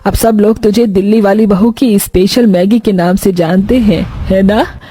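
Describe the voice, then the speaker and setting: A woman's voice: mid-range voice, steady tone, normal speed, dark tone, husky, pitched below the performer's own voice, close to an everyday voice. A woman voicing an affectionate, approving older mother-in-law in a cartoon story.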